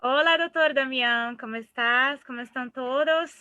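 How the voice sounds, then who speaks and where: A Brazilian woman whose first language is Portuguese, giving a seminar lecture in halting Spanish with Portuguese mixed in and frequent restarts. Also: high-pitched, pushed and bright, clean tone, no echo, highs cut off. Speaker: seminar speaker.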